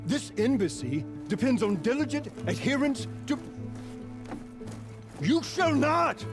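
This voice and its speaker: A man playing a pompous, rigid, high-strung commander in a video game, haughty and formal. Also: very animated, takes it slowly, music in the background, exaggerated voice. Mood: indignant, condescending. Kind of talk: theatrical video game acting.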